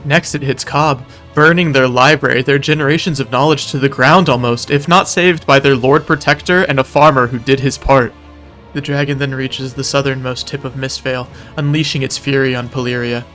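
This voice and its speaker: A man's voice: mid-range voice, steady tone, normal speed, a bit bright, faint music behind. A man narrating a scripted recap in a casual, wry way that slips into dramatic storytelling. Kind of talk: scripted narration, video recap of a roleplay event.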